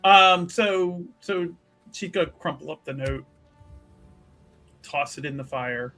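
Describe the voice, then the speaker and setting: A man playing a tabletop roleplaying game, narrating his character's actions casually in halting, wordy improvised sentences that often restart. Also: fairly high pitch, pushed and bright, soft background music.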